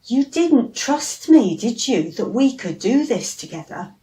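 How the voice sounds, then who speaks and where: A woman voicing Jesus as a kind, calm man in a gentle, reassuring way for a children's story. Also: on the high side, unhurried, voice pushed up. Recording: roomy, distant mic.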